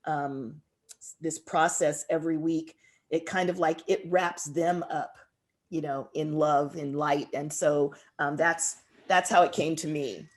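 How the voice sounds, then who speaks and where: A woman speaking as a guest author, reflective and expressive, deliberately drawing out words for emphasis. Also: low-pitched. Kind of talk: reflective interview answers and poetry reading.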